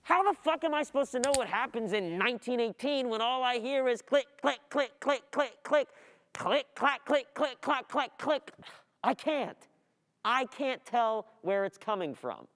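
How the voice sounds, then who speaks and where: A young adult performer playing an overwhelmed, distracted student in a halting, agitated radio play monologue. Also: mid-range voice, expressive, fairly bright tone. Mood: frantic, frustrated.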